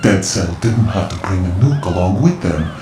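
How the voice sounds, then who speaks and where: An actor playing a mysterious, cryptic male informant in a video game cutscene, speaking in a measured, lecturing way. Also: deep voice, animated, creaky. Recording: roomy game mix with loud music.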